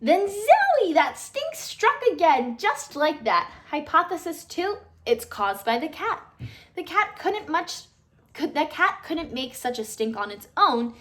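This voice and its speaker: A woman reading a children's picture book aloud in an expressive, animated storytelling manner with emphatic phrasing. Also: high voice, measured pace. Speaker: storybook reader.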